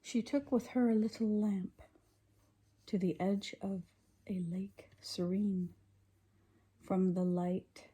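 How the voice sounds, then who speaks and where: A woman reading poetry in a gentle, deliberate, breathy ASMR whisper. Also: on the low side. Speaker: poetry reader.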